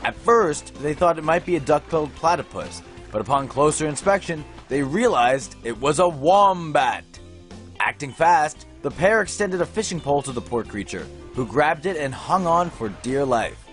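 Male narrator for light news, fairly high voice, playful storytelling, music underneath. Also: expressive, moderate pace, a bit bright.

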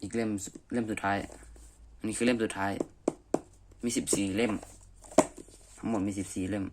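A man's voice: on the low side, little pitch movement, normal speed. Casual man, noisy home recording. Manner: informal, thinking aloud. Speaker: amateur manga reviewer. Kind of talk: casual unscripted review chat. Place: messy room indoors.

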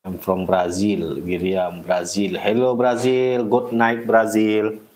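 Indonesian man with a low, slow voice. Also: level tone, darkish tone, poor audio. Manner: halting, simple English mixed with Indonesian, frequent short laughs. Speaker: livestream host caring for a baby monkey. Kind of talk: casual livestream chat reading viewer comments. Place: small indoor room at home. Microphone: phone camera at arm's length.

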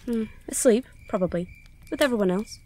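A woman's voice: a little high, fairly quick, darkish tone, a little hiss or hum, lightly acted. A young woman playing an anxious, sorrowful princess in an audio drama, earnest and melancholy. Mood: weary, longing. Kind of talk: audio drama acting and first-person narration.

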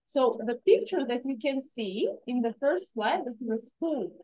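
A woman presents to a class over a video call. She speaks in a fairly high voice and explains things patiently, with many fillers. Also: expressive, a little slow, warm tone, husky, low-quality recording. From Uzbekistan. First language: Russian. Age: 30s. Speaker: English teacher.

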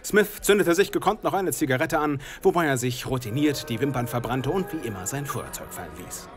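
A man reading a scripted comic audiobook as narrator in a deadpan way. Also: medium pitch, a little fast. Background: music.

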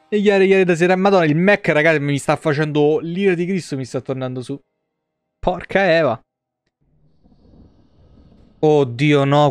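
Male streamer, 30s, smooth close dry sound, informal, joking, free with swearing. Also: medium pitch, no echo. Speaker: live streamer. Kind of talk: casual gameplay commentary. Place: indoor room, seated at a desk.

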